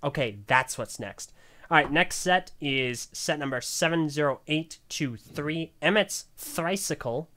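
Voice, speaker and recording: Podcast host, male, bright forward voice, chatty review delivery with giggles breaking through. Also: on the high side, animated, a little slow. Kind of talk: casual panel chat, product review.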